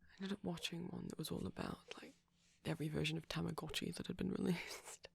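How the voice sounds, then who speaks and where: Man acting a shy, breathy vampire boyfriend. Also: a little high, flat delivery, fast, no echo, heavily acted. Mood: shy, hesitant. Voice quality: soft, breathy, often whispering. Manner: hesitant, rambling, apologetic. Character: shy, gentle, nervous young male vampire boyfriend. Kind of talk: intimate ASMR boyfriend roleplay. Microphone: close microphone.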